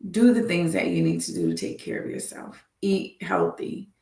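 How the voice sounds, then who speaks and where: Lady doctor doing a live health talk, deep-ish gravelly voice, kinda roomy echo. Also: huge pitch swings, moderate pace, darkish tone. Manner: earnest, repeats advice for emphasis. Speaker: doctor hosting a health show.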